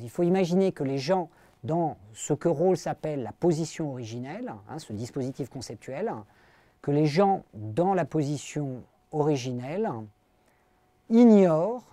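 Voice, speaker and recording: Man, middle-aged, philosophy lecturer, hesitant and explanatory, warm mellow tone, dry sound. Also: on the high side, no room sound. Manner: hesitant, full of pauses and fillers; explanatory, addresses listeners directly. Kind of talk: academic lecture to an audience.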